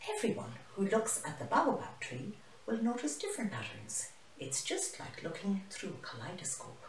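Friendly lioness for a picture book, female reader. Encouraging, highly animated, recorded a little way from the mic. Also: moderate pace, slight background noise. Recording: roomy, mic some distance away.

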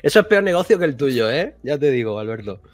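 A man's voice: medium pitch, big pitch swings, quick pace. A man with a Spain Spanish accent chatting casually as a podcast co-host, in a joking, colloquial manner. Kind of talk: casual chat.

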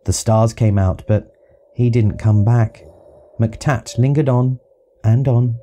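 A man's voice: medium pitch, steady tone, takes it slowly, mellow, a little hiss or hum. A man narrating a children's audiobook, reading rhyming verse rhythmically and expressively. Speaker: storyteller reading to young children.

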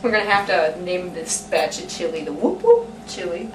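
A woman's voice: on the low side, sing-song, normal speed. A young woman talking casually while she cooks at home, joking to the camera. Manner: chatty, joking asides to the camera. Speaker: home cooking vlogger. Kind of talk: casual cooking demo. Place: home kitchen, indoors. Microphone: camera mic from a few feet away. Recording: echoey kitchen, distant camera mic, noisy.

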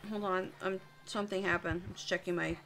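A woman's voice: lowish voice, fairly even intonation, brisk, gravelly, fairly bright tone, soft background music. A young woman chatting casually as the host of a drawing livestream.

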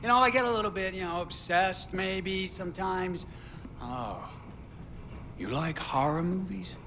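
An actor playing a lonely, jittery war veteran obsessed with movies in a video game, halting and rambling, eager and pleading. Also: male voice, high voice, background noise. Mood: excited. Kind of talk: naturalistic video game acting.

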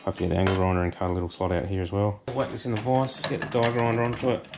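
An Australian man, hoarse from a cold, chatting casually through a workshop how-to while he works on metal. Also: fairly low pitch, racing. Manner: relaxed, chatty, thinks aloud with fillers. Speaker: host. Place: home workshop shed. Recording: noisy workshop with tool clatter.

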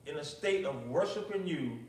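A man's voice: fairly high pitch, animated, moderate pace. Echoey middle-aged male pastor at a pulpit. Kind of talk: sermon with prayer and commentary. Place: small, nearly empty church, at a pulpit indoors. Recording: echoey church, distant microphone.

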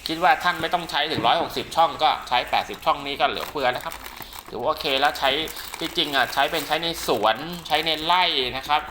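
A man's voice: fairly high pitch, fast talker. A man giving a casual product demonstration as a seller, rambling and repeating himself with frequent polite tags. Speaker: radio seller and channel host presenting products. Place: at home during heavy rain. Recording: loud rain noise behind the voice. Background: heavy rain.

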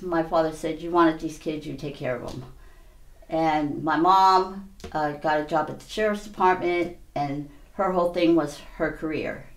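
Low-voiced older woman from hawaii, plainspoken and halting, echoey room. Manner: halting, plainspoken, many "you know"s. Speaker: interview guest. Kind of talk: candid life story interview. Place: small indoor studio, seated before a backdrop.

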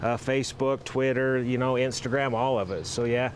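A middle-aged man giving a casual outdoor cooking demo, relaxed and plain-spoken, with halting phrasing. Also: mid-range voice. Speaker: guest outdoor cooking chef. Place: outdoor rooftop deck. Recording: faint outdoor traffic noise.

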